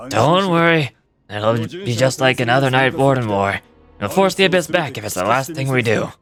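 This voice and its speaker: An actor playing a resolute, earnest war leader in a fantasy video game, speaking in a commanding, deliberate way. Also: male voice, mid-range voice, animated, over music, close to an everyday voice. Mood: rousing, determined. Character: resolute male war leader rallying his fighters. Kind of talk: dramatic fantasy game voice acting.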